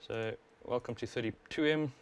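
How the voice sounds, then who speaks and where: A South African man hosts a live stream in a casual, explanatory way. His voice sits at an average male pitch. He talks informally into a worn wireless mic, with some ambient noise behind him. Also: moderate pace. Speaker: livestream host, overclocker. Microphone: wireless worn microphone. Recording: clear steady background noise.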